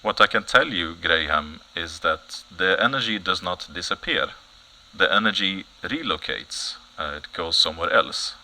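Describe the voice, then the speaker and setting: An actor playing a mysterious, solemn foreign informant in an audio comedy, halting, evasive and deadpan. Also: male voice, deep voice, a little hiss or hum, heavily acted, poor audio. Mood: cryptic, solemn. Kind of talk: satirical news audio comedy acting.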